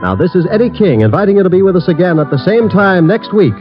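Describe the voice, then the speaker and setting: Animated, high-pitched male vintage radio announcer. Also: quick pace, dull audio. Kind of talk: 1940s radio announcing, scripted. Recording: old radio broadcast recording. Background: clear music.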